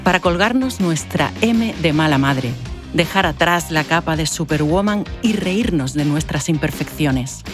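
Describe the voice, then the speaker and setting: A woman with a low voice reads a podcast's scripted opening in a smooth, even tone. Clear music plays behind her throughout. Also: measured pace. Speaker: show intro announcer. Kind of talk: scripted narration.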